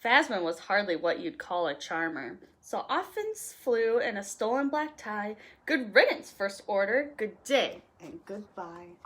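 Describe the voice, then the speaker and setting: A woman reading a rhyming children's picture book aloud in an expressive, playful, sing-song way. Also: medium pitch, measured pace, few pauses. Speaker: storytime reader.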